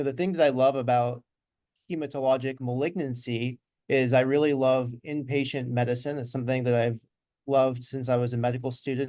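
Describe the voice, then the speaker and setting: A man in his thirties, a physician guest on a video call, explaining in a measured way with some fillers. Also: mid-range voice, darkish tone, no echo. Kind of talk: interview.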